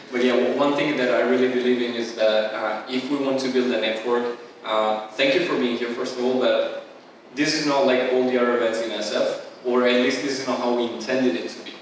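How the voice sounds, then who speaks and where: A man's voice: medium pitch, fairly even intonation, moderate pace. A man, a founder, giving a loose welcome speech at an event, full of "like" and "uh". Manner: rambling, heavy use of "like" and "uh". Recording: echoey hall, distant mic, faint hum.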